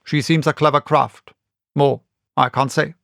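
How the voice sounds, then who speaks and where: A man's voice: fairly high pitch, fairly even intonation, a little slow, gravelly, a bit bright, no room sound, studio quality. A man voicing a disgruntled sea captain in an audiobook, plain-spoken, formal and insistent. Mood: stern, formal. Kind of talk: audiobook character reading.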